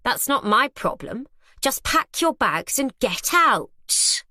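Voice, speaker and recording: A woman's voice: a little high, unhurried, a bit bright, no echo, pitched above the performer's own voice. Woman voicing a sneering posh young woman. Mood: imperious. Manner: imperious, contemptuous. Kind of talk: audiobook character voice.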